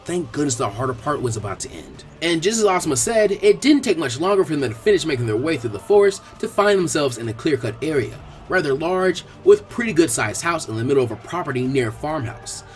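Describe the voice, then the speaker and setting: A man reading fan fiction aloud in expressive scripted narration. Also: a little high, fairly quick, hardly any pauses, soft background music.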